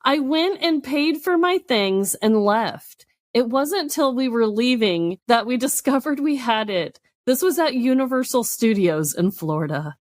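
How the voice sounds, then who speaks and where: Woman, higher voice, very lively intonation, casual podcast co-host, giggly, playful. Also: measured pace, hardly any pauses, dry recording, studio quality. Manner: giggly, animated, playful teasing. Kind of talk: casual comedic podcast chat.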